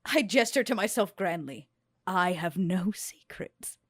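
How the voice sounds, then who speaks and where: A woman plays a haughty character in a tabletop game with a slow, deliberate delivery. Her voice is low-set and husky, recorded dry and close. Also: animated, no echo. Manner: haughty, measured. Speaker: player. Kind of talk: in-character roleplay.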